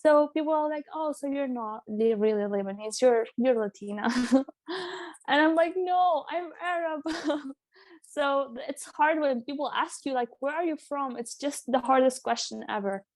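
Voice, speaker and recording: A woman's voice: sits high, a little mellow, no echo. Young Venezuelan woman, dry close sound. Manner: frequent laughter, halting restarts. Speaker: guest. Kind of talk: casual interview talk.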